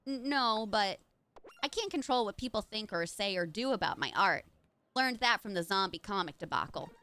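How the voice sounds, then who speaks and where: A woman voicing Nora, a calm, modest young woman artist, with a soft, level, reassuring delivery. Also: mid-range voice, moderate pace, voice pushed down.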